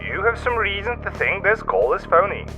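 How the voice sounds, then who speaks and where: A man's voice: high-pitched, big pitch swings, normal speed, pushed and bright, exaggerated voice. A man playing a world-weary city police desk officer in a radio drama, brusque and patronizing. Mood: dismissive, impatient. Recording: dull radio-like sound, music behind.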